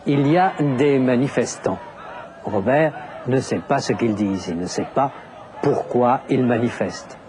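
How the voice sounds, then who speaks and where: A man narrating a language lesson, explaining deliberately with very clear enunciation. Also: mid-range voice, a crowd in the background, noisy background. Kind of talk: scripted teaching narration.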